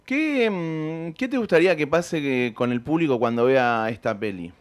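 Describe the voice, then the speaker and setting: A man interviewing a guest, asking questions in a casual, soft-spoken way. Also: fairly high pitch, expressive, moderate pace. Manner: soft-spoken, brief affirmations.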